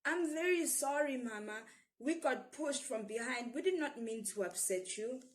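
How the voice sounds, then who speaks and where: Woman playing a polite, pleading young boy, higher-pitched. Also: lively intonation, moderate pace. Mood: frightened apology. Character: young boy, apologetic, protective big brother.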